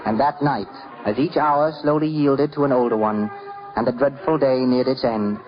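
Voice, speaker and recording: A man's voice: medium pitch, normal speed. A man narrating a scripted story in 1950s radio style, theatrical and lyrical with dramatic emphasis. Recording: dull old radio recording. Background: prominent music.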